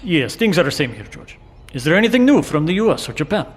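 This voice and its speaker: A man's voice: a little high, very animated, fast talker. A man acting in an audio drama as a lonely Russian tracking station operator, earnest and a little formal in his phrasing. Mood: friendly, curious. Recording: reverberant, faintly noisy radio exchange.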